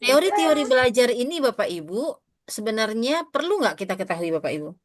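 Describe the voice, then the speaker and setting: A woman's voice: on the high side, very animated, a little fast, dry recording. Chatty woman lecturing teachers, dry sound. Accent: Indonesian. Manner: addresses audience often, asks questions, frequent fillers. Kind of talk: interactive teacher-training lecture.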